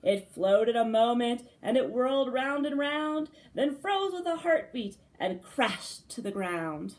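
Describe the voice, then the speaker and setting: A woman preschool teacher reading a storybook aloud for young children, with dramatic phrasing and pauses between short phrases. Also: a little high, animated, a little mellow.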